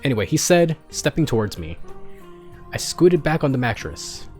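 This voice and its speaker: Man, mid-pitched, expressive story narration, slightly halting, streamer reading fan fiction, music behind. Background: background music.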